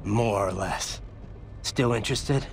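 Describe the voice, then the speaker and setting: A man's voice: on the low side, takes it slowly. An actor playing a hardened cyborg swordsman in a game cutscene, terse and matter-of-fact. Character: hardened cyborg swordsman, adult man, blunt. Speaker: video game protagonist.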